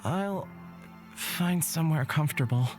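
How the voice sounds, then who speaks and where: A woman playing a gentle, cautious young forest dweller who speaks hesitantly in a fantasy visual novel. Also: deep voice, faint music behind. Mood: gentle, calm. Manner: hesitant, unhurried.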